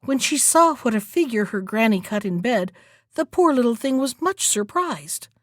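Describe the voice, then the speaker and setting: Female folktale narrator, slightly high voice, lively and expressive, recorded dry. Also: normal speed, dry recording. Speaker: storyteller.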